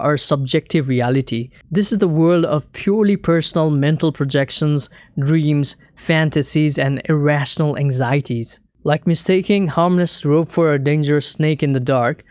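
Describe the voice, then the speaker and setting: Earnest, measured man teaching calmly. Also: on the high side, clean tone, no echo, studio quality. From Nepal. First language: Nepali. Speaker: spiritual teacher. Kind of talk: calm scripted talk to camera.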